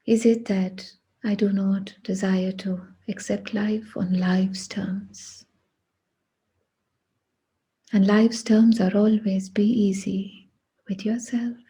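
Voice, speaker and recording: Woman doing guided meditation, super slow w long pauses, really breathy husky hushed voice. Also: medium pitch, little pitch movement. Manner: hushed, deliberate, with long pauses between short phrases.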